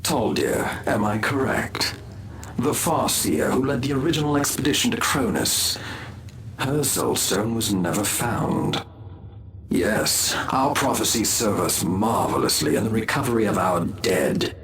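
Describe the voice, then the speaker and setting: Low, brooding elf wanderer. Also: male voice, animated, a little slow, a bit bright. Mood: bitter, sardonic. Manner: dry, sardonic, brooding. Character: bitter, world-weary alien elf wanderer. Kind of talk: video game cutscene acting.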